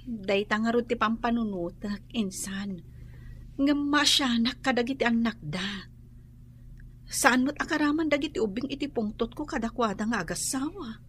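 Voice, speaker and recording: An actress with an Ilocano accent playing an aggrieved, firm but forbearing woman homeowner in a radio drama, measured and reproachful. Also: fairly high pitch, a little hiss or hum, lightly acted. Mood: thoughtful.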